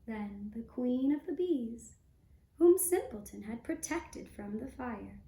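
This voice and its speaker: A woman narrating a scripted fairy tale for a puppet show, measured, with long breaks between phrases. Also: a little high, animated, warm tone, reverberant, rough recording.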